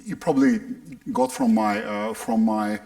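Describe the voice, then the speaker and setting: A middle-aged man with a Russian accent giving a public science lecture, halting and often repeating words as he restarts. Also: lowish voice, fairly even intonation, darkish tone.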